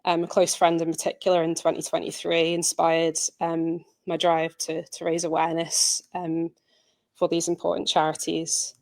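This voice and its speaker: A woman's voice: sits low, completely flat delivery, normal speed, vocal fry, a little mellow. A woman giving an informal presentation as writer, earnest and hesitant in her delivery.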